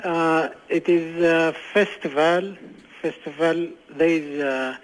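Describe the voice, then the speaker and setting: A male ticket agent on a customer service phone call. His voice sits slightly high. He speaks non-native accented English in a polite, halting way, often repeating himself. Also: fairly even intonation. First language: not English. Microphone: telephone line.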